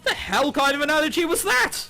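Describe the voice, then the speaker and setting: A man reading a visual novel aloud as a gaming YouTuber, adding sweary, sarcastic, animated commentary. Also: extremely high pitch, a little fast, gravelly. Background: game background music.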